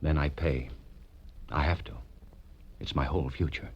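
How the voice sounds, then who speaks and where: A man's voice: extremely low pitch, normal speed, slightly rough, dull audio, full character voice. An actor playing an anxious, ambitious businessman in his thirties, hesitant and urgent, in a 1940s radio drama. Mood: worried, earnest. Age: 30s. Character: anxious, ambitious young businessman in a blackmail jam.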